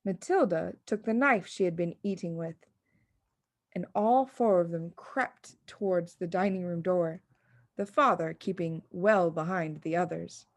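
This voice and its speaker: A woman reading a children's story as an expressive audiobook narrator. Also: on the low side, measured pace, dry recording, studio quality. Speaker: audiobook reader.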